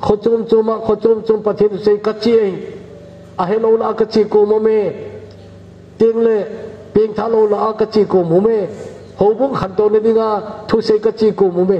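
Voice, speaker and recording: An older man from northeast India preaching a measured, unhurried sermon as pastor in a church hall. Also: sits high, level tone. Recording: echoey church hall, dull lo-fi sound, faint hiss.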